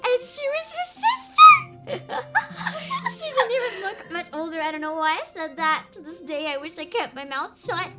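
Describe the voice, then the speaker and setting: High-voiced giggly woman streamer. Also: normal speed, pauses often. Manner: giggly, laughs often, animated storytelling. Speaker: VTuber streamer host. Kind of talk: casual chat stream. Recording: music playing behind.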